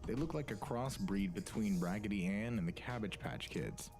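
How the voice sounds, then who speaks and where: Man, scripted countdown narration, slightly low voice, soft music bed. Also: normal speed.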